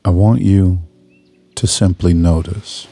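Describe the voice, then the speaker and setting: Man, low dark mellow voice, guided hypnosis narration, measured, soothing, short phrases with pauses. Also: fairly even intonation, slight background noise. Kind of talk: slow scripted guided meditation.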